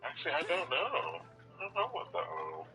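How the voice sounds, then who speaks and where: A man's voice: fairly high pitch, wildly swooping pitch, normal speed, sharp tone. A man chatting casually and playfully while gaming, swearing now and then. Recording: dull lo-fi audio. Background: music.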